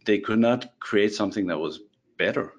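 A man with a Danish accent speaks in a slightly low voice as a guest in a relaxed podcast conversation. He talks haltingly, repeating words and restarting sentences as he goes. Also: highs cut off. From Denmark. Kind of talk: casual podcast chat.

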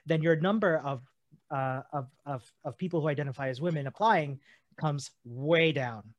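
A man's voice: a little high, no room sound, highs cut off. A man co-hosting a podcast, talking earnestly and restarting his phrases often as he thinks aloud. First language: not German. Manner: earnest, halting with many restarts. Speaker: podcast co-host. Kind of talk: conversational podcast discussion.

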